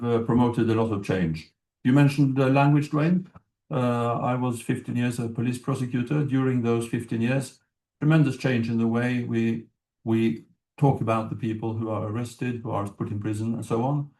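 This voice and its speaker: A man from Norway talks informally on a conference panel, often pausing and restarting his sentences. His voice carries from a distance. The room is large and echoing. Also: mid-range voice, warm tone. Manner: informal, frequent fillers and restarts. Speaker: government policy official, panelist. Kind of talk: unscripted conference remarks. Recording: echoey room, distant mic.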